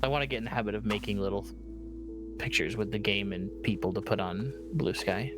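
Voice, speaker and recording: A man with a somewhat low voice joins a game stream as a guest. He talks casually and hesitantly, letting sentences trail and adding small interjections. Game music is clearly audible behind him. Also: a little fast. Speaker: guest streamer helping along. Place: remote voice call.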